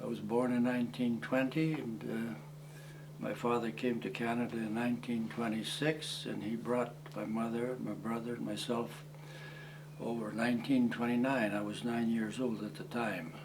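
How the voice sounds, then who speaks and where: Halting elderly man reminiscing. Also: medium pitch, little pitch movement, noisy background. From Croatia. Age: 80s. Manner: halting, many fillers and restarts; plain, matter-of-fact storytelling. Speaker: war veteran interviewee. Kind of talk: oral history recollection.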